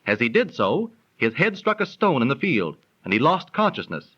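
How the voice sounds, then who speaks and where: An actor playing a knowledgeable, friendly dinner guest who explains and narrates in a measured, declamatory 1930s radio style. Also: male voice, medium pitch, big pitch swings, a bit bright. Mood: earnest storytelling. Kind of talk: 1930s radio acting, scripted storytelling. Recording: muffled, lo-fi 1930s radio recording.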